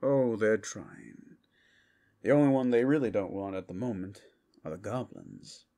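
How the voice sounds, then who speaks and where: A man playing a businesslike, dry-humored colleague in a fantasy ASMR roleplay, measured and matter-of-fact. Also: lowish voice, animated, gravelly, dark tone.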